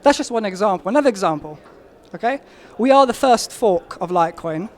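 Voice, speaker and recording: Man, young adult, high-pitched, insistent, casual interview. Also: expressive, fairly quick. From Albania, raised in London. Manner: eager, insistent, emphatic claims. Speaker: marketing lead, interviewee.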